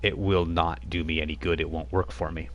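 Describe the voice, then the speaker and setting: A man's voice: sits low, level tone, fairly quick, slight background noise, natural voice. A man voicing an ancient, centuries-old woman vampire in a tabletop game, speaking with brooding contempt. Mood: solemn.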